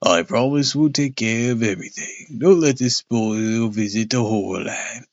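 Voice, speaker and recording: Creepy polite monster guy, low and gruff, takes his time, man reading it. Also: no echo, lo-fi sound, natural voice. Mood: eerie calm. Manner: gruff, slow and soothing. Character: gruff, low-voiced monster ticket taker, eerily polite.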